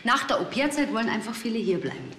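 An actress playing an observant, practical pathologist in a TV crime comedy. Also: female voice, fairly high pitch, big pitch swings, fast, fairly bright tone. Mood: thoughtful. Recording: echoey room with faint noise.

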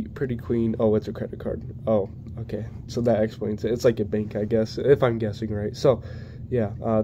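Young man, stuffy from a cold, flat and halting home-video delivery, noticeable background noise. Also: lowish voice. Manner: hesitant, many ums and restarts. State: congested from a cold. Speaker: diecast collector reviewing model cars. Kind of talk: casual unboxing vlog. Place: indoors at home, plain wall. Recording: noisy home recording.

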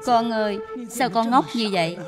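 A woman voicing a stubborn, sorrowful, frail old mother-in-law with an emotional manner in an animated dub. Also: on the high side, normal speed, close to an everyday voice. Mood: tearful, loving. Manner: agitated, blunt, emotional. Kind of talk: dubbed animated moral tale. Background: background music.

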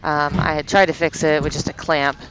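A woman's voice: low voice, flat delivery, brisk, noisy background. A woman narrating a travel vlog in a casual, conversational style. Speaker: vlogger narrator. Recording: loud music over voice. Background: loud music.

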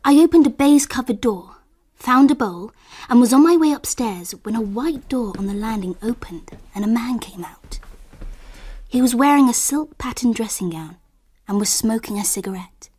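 A woman's voice: fairly high pitch, expressive, normal speed, darkish tone, slightly rough. A woman playing an observant young English girl who narrates her summer abroad in a radio drama, with an English accent. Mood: reflective. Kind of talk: radio drama acting and first-person narration.

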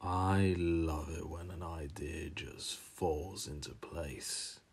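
A man voicing a sly, smug diesel engine in a children's cartoon, with a smooth, pompous drawl. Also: a bass voice, fairly even intonation, takes it slowly, darkish tone, pitched below the performer's own voice, exaggerated voice. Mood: smug, scheming. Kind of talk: amateur cartoon character voice reel.

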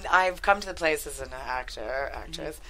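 An American woman in middle age chatting casually as a guest writer, in an animated, self-deprecating manner. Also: deep voice, normal speed. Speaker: guest screenwriter and actress. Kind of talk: casual interview chat. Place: studio couch on a podcast set. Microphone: headset.

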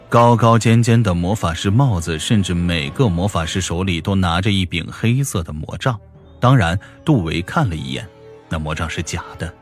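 A man narrating a fantasy novel as a scripted audiobook, in a dramatic, deliberate storytelling manner. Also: sits low.

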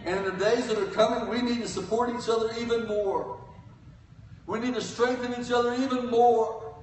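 An older man preaching a sermon from a church pulpit, swinging between loud cries and quiet asides. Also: extremely high pitch, fairly even intonation, moderate pace, slight background noise. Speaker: guest preacher. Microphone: pulpit mic, filmed from the pews. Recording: echoey church from far off.

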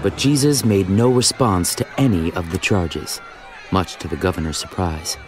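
A man narrating scripture from a script in a measured, dramatic storytelling manner. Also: low-pitched. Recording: music behind the voice.

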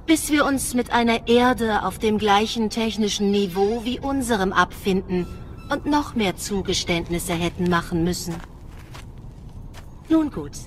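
A woman's voice: medium pitch, slight background noise, natural voice. A woman playing a polite, menacing alien android envoy in a radio drama, formal and condescending.

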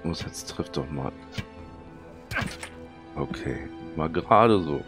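A man with a cold and a hoarse, thick voice, casually commenting on his gameplay and muttering to himself as he plays. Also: very deep voice, animated, slow, over music. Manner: chatty, muttering to himself while playing. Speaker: let's play commentator.

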